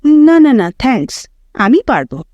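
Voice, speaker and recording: Woman voicing a guarded young mother, high-pitched. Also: very animated, unhurried, mellow, no echo, highs cut off. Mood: flustered, polite. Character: reserved, flustered young mother travelling with small daughter. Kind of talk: audiobook character dialogue.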